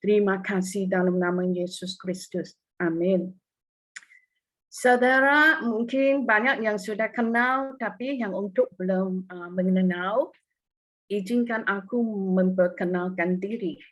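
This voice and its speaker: A woman's voice: mid-range voice, a little slow, low-quality recording. Hong Kong woman giving a church testimony. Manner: earnest, with hesitations and non-native phrasing. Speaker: testimony speaker.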